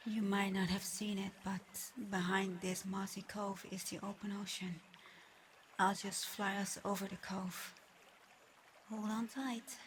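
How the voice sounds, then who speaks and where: A woman acts out a female water dragon in an ASMR roleplay, proud and guarded yet softening. She talks slowly at a medium pitch, in a soft, teasing way that often drops to a breathy murmur. Clear ambient noise runs behind the voice. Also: flat delivery, close to an everyday voice. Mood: gentle, careful. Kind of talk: ASMR roleplay, scripted character monologue.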